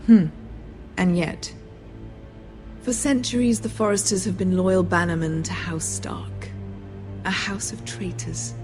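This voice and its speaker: Casting: woman as cold imperious queen, lowish voice, cutting delivery, game drama with music behind. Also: steady tone, a little slow, pauses often, a little mellow, natural voice. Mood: cold interrogation. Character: haughty, suspicious queen regent, cold and imperious. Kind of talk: dramatic video game acting.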